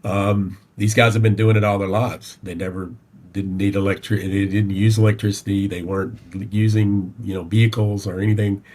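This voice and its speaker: Podcast guest: middle-aged Southern US man, lower-pitched, folksy and halting, recounting his life conversationally. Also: flat delivery, darkish tone. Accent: Southern American, Tennessee. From Tennessee, United States. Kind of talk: conversational personal storytelling.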